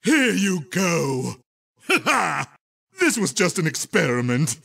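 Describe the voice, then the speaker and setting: A man voicing a manic, arrogant cartoon evil scientist for a video game, pompous and theatrical in shouted exclamations. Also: on the high side, very animated, slow, creaky, slightly rough, full character voice. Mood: scheming, smug.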